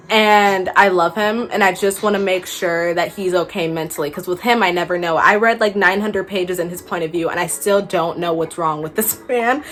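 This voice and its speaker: A woman's voice: mid-range voice, fairly bright tone. A young adult woman chatting casually as a book vlogger, gushing and slangy, repeating words for emphasis. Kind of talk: casual chat to camera. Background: background music.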